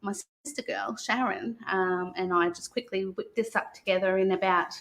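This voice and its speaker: Aussie woman guest chatting to kids, bright clear-cut tone, polite, stops and starts a bit. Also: mid-range voice, steady tone, normal speed. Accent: Australian. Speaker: guest on a children's live stream. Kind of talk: conversational explaining to children.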